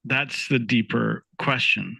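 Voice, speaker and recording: Male podcast co-host, thoughtful chatty delivery with frequent restarts, bright forceful tone, dry sound. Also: mid-range voice, slow pace, creaky, husky, no echo, rough recording. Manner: thoughtful, laughs easily, restarts sentences. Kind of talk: casual podcast conversation.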